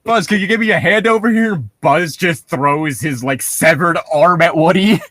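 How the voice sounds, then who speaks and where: A man's voice: fairly high pitch, moderate pace, bright, forceful tone, dry recording, clean studio sound. A man co-hosting a show, reading stories aloud and breaking off for wry, nitpicking comments in a casual way. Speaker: podcast co-host reading and mocking internet horror stories.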